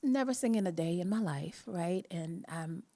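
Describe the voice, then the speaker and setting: Woman, Jamaican accent, lowish voice, chatty, earnest, laughing between phrases, live talk host. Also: normal speed, mellow. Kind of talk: personal storytelling, motivational chat.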